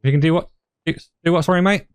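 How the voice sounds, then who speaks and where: A man's voice: a little high, quick pace, smooth, dry recording. A man playing a sheriff in a roleplay meeting, answering in short casual replies with some swearing. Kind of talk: in-character roleplay meeting talk.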